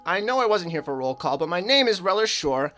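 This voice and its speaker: A man's voice: sits high, sing-song, a little fast, sharp tone, soft background music, heavily acted. A man playing a small, queasy, flustered alien cartographer in a radio drama, anxious and polite.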